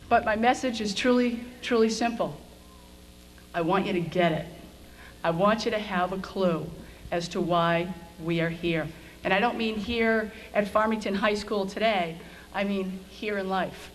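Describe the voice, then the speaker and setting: A middle-aged American woman, a school administrator, giving an informal, conversational commencement speech with a self-deprecating streak. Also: medium pitch. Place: on stage at high school graduation. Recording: noisy event video with room ambience.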